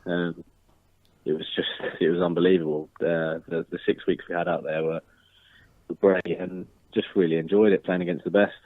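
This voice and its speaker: A young man in his twenties, a footballer, answering interview questions in a measured, modest way over the phone, with many ums and restarts. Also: deep voice, level tone, no room sound. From Northern Ireland. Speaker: football striker, interview guest. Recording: tinny phone line.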